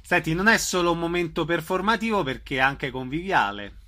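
Bright, higher-pitched man interviewing. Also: animated, a little fast. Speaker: radio interviewer. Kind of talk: conversational radio interview.

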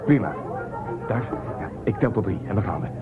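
A man playing a calm ship commander. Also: lowish voice, a little fast, loud music behind the voice, over a noisy crowd, close to an everyday voice. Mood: steady. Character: calm male spaceship commander. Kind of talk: radio drama acting. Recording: tinny phone-like sound.

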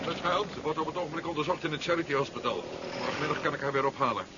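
A man's voice: on the high side, racing, lightly acted. A male actor playing a troubled, doubting civilian confidant who searches for words in a science fiction radio play. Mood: concerned. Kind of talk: 1970s science fiction radio drama acting. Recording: dull, noisy 1970s radio drama recording.

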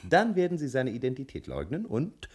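An actor playing a smooth-talking, scheming male detective who ingratiates himself, in 1960s German radio crime drama style. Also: mid-range voice, huge pitch swings, a little fast, darkish tone. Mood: insinuating, wooing. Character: suave scheming male detective courting a client's wife.

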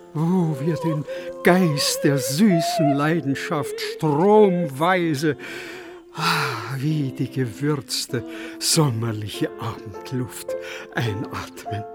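Slow-spoken, effusive aristocratic count. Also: male voice, fairly high pitch. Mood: rapturous, proud. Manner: florid, emphatic, courteous. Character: effusive, courtly aristocratic count, a hospitable father. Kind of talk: German radio play acting.

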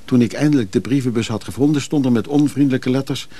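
An actor playing a restless, moody lover in a radio drama, speaking haltingly and reflectively. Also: male voice, medium pitch, dark tone, close to an everyday voice. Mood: haunted, brooding. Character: restless moody male lover haunted by dreams. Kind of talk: intimate radio drama acting.

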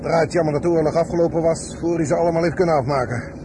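An actor playing a ruthless, bigoted army major in a radio play, curt and commanding. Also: male voice, fairly high pitch, fairly even intonation, fast, background noise, natural voice. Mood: bitter.

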